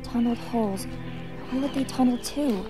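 An actress playing a determined, anguished heroine in a horror audio drama, with slow, intense dramatic delivery. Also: female voice, fairly high pitch, natural voice. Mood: searching, despondent. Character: determined, anguished heroine seeking a lost man. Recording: loud music behind.

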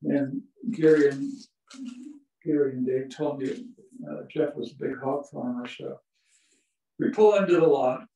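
A man's voice: medium pitch, measured pace, gravelly, pauses often, mellow. An older man giving a chatty, anecdote-filled lecture, chuckling now and then as he tells his stories. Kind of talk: storytelling museum lecture. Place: small museum meeting room, indoors. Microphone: far camera, no visible mic. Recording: echoey room from far off.

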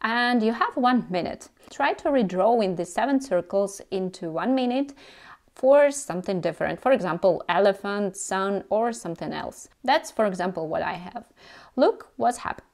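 A woman's voice: mid-range voice, moderate pace. Russian-accented woman teaching dance tips. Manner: animated, gesturing with both hands. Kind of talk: tips video to camera. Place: indoor room before a carved screen. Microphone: lapel mic.

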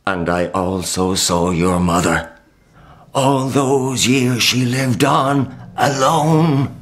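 An actor playing a haunted, war-weary crusader uncle in a historical radio drama, grave, halting and dramatic. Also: male voice, mid-range voice, close to an everyday voice. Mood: pressing, sorrowful.

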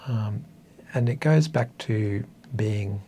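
A man's voice: fairly low pitch, slow pace, warm tone, slight background noise. An Australian man in his fifties in a casual, reflective chat, speaking hesitantly and thoughtfully, with a self-deprecating way about him. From northern coastal New South Wales, Australia. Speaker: interview guest, author. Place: small indoor meeting room.

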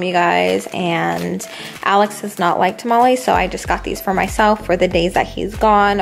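A young adult woman talking casually and informally, in a slangy, conversational vlog style. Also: mid-range voice. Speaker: vlogger showing a grocery haul. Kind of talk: casual chatty vlog voice-over.

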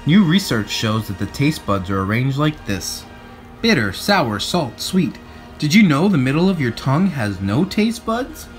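A man reading aloud to children in an expressive, playful storybook style. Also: a little high. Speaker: storybook reader. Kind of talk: animated read-aloud narration for children.

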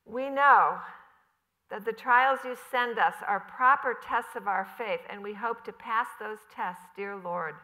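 An earnest farm mother prays aloud, her tone pleading and sincere, as a woman reads her part. When she turns to her child she softens into a tender, reassuring voice. Her tone stays bright throughout. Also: on the high side, slow pace, low-quality recording. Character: devout farm mother praying earnestly, worried.

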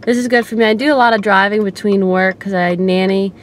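A young woman with a slight Baltimore accent rambles cheerfully to a dashboard camera while driving. Her voice sits at an ordinary pitch, and loud road and engine noise rumbles under it the whole time. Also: fairly even intonation, fairly quick. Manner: rambling, upbeat, frequent "like" fillers. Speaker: fitness vlogger. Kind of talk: casual vlog chat. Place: inside a car while driving. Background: car engine and road noise.

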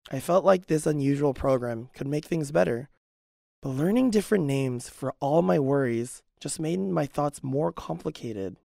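Male narrator for personal testimony, earnest and measured, gravelly edge, close dry sound. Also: fairly high pitch, expressive, warm tone, dry recording.

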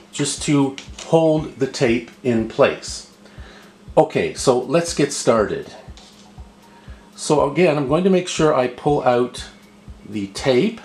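A man's voice: mid-range voice, darkish tone. A man narrating an unscripted step-by-step tutorial, explaining patiently and repeating himself as he goes. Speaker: host of a how-to video. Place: indoor room at a table. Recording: roomy, echoing indoor voice recording.

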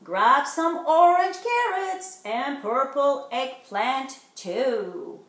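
A woman's voice: high voice, very animated, very slow, a bit bright. A middle-aged woman reading a children's picture book aloud, stretching out rhyming words expressively. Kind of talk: animated children's read-aloud. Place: living room at home, indoors. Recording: roomy home recording.

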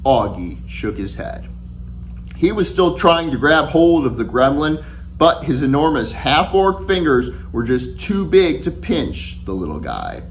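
A middle-aged man, an author reading his children's novel aloud to camera in an expressive, deliberate storytelling manner. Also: on the high side, a little hiss or hum, rough recording. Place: furnished room at home, indoors.

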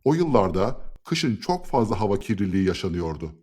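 A man's voice: on the low side, very animated, a little fast, a little mellow, breathy edge. A man playing a kindly grandfather in a scripted educational cartoon, explaining things patiently and with encouragement. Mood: patient, explanatory.

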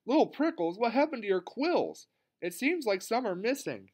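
A man voicing a caring, gently concerned mother porcupine in a children's storybook, in a motherly way. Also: on the high side, very animated, normal speed.

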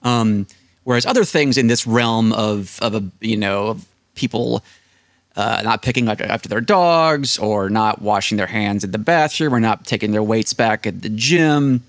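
A man's voice: lowish voice, normal speed, no echo, clean studio sound. A man in his thirties with an American accent, telling casual anecdotes as an interview guest and acting out what people said. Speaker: podcast guest. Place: small indoor room, seated at desk. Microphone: close desk microphone on video call.

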